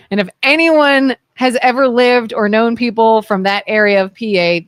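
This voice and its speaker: A woman's voice: medium pitch, dry recording. A woman from Ohio co-hosting a casual radio talk show, telling stories and acting out the voices in them. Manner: animated storyteller who acts out the voices in her anecdotes. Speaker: co-host. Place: radio studio.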